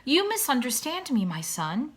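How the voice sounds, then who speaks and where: Woman voicing a pompous, animated father swan. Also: high voice, normal speed, husky, pitched above the performer's own voice, full character voice. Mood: comforting, explaining. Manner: formal, oratorical, emphatic. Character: wordy, pompous father swan, kindly and encouraging. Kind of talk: children's storybook read-aloud.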